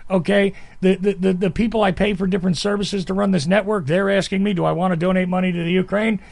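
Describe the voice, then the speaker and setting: A man's voice: sits high, steady tone, quick pace, vocal fry, darkish tone, dry recording. A man hosting a podcast interview, talking in a rambling, emphatic way that keeps interrupting itself. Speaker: podcast host. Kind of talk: conversational interview.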